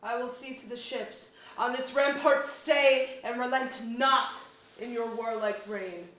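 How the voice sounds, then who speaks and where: A woman plays a fearless, commanding queen with a high-pitched voice. Her verse is stately and old-fashioned, read on stage in an echoing auditorium. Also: fairly even intonation, unhurried, a bit bright. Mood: regal, resolute. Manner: stately archaic verse delivery. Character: proud, fearless warrior queen, regal and commanding. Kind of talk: staged reading of mock-Shakespearean verse drama. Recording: echoey auditorium.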